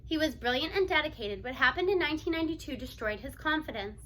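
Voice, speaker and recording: A sympathetic woman principal from a children's novel, read by a woman narrator. She reasons earnestly and persuasively, quick to defend. Her voice is high with a bright edge. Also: lively intonation, a little hiss or hum, poor audio.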